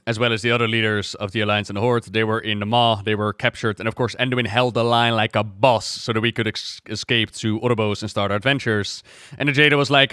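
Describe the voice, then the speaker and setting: A man's voice: mid-range voice, fairly bright tone, smooth, dry recording, studio quality. A man giving casual livestream reaction commentary as a game lore YouTuber, explaining things in a chatty, informal way.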